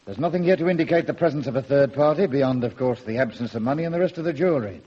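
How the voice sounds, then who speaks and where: An actor playing a stern, sceptical police inspector in a radio drama, brisk and authoritative. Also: male voice, mid-range voice, expressive, a little mellow, slightly rough. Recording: dull old radio recording.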